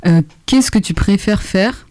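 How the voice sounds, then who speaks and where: A young woman with a mellow, dark-toned voice interviews a guest on the radio. She puts her questions casually and haltingly, pausing to find her words. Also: medium pitch.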